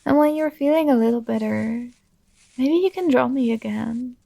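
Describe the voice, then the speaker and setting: A doting yet controlling fantasy princess, acted by a woman, speaks in a high, creaky voice at a very unhurried pace. She coaxes and gently gives orders as in an intimate ASMR roleplay. The sound is close and very dry. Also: no room sound. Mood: doting, possessive. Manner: softly coaxing, doting, gently commanding. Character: possessive, tender yandere dream princess with magic powers.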